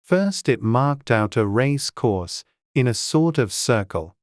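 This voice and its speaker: A man narrating a classic children's story in a gentle, even storytelling manner. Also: mid-range voice, takes it slowly, no echo, studio quality.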